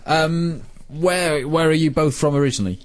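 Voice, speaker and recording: A man's voice: on the high side, normal speed, dry recording. A British man hosting a conversational sports radio interview, putting long, rambling questions.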